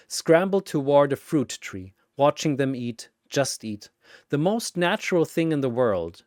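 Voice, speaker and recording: Slow, dramatic male storyteller. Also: medium pitch, darkish tone, no room sound, clean studio sound. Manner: theatrical, short suspenseful phrases. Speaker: storyteller, narrator. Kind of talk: dramatic scripted action story narration.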